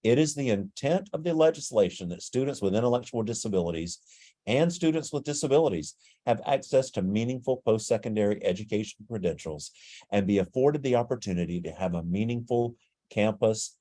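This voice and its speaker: A man with a stuffy, nasal voice presenting a webinar and explaining things in a steady way over a video call. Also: on the low side, a little fast, no echo.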